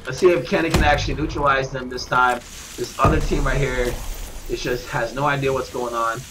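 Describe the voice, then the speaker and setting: A man with a stuffed-up nose, commentating live at a gaming tournament, getting excitable on big kills. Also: mid-range voice, brisk. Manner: excitable, shout-outs to viewers, bursts of hype on kills. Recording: noisy with game gunfire behind.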